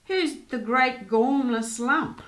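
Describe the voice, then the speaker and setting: A woman playing a cantankerous, sharp-tongued mother of nearly seventy in a comic radio soap, grumbling and blunt. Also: high-pitched, takes it slowly. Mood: scornful.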